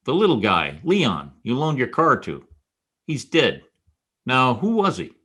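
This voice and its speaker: An actor playing a hard-boiled, wisecracking private detective in a 1940s-style radio drama, with a wry, clipped delivery. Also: male voice, medium pitch, wildly swooping pitch, a little slow, a bit bright, husky, heavily acted. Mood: flippant, tough.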